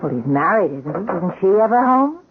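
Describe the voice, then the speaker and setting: An actress playing a police sergeant's skeptical, hurt wife with a pointed, sardonic manner in a radio drama. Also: female voice, mid-range voice, sing-song, a little fast, a little hiss or hum, natural voice. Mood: probing. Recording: muffled, band-limited radio drama recording.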